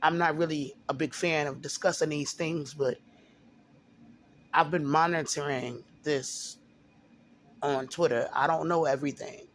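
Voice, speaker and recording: A man's voice: a little high, fairly even intonation, fairly bright tone, slight background noise. Hesitant man with a sore throat. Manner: halting, repeats words, many fillers. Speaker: video blogger. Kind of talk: casual monologue to camera.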